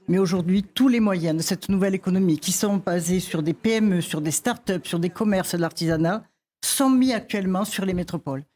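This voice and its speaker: A woman's voice: fairly low pitch, darkish tone. A woman academic from France, an election candidate, speaking in a debate, hesitant and searching for words. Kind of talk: debate statement.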